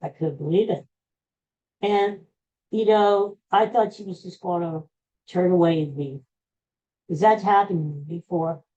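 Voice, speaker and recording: An older man with an American accent tells personal stories casually, in a halting, rambling, self-deprecating way. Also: high-pitched, dark tone. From Pennsylvania, USA. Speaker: podcast host. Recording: dull, muffled lo-fi audio.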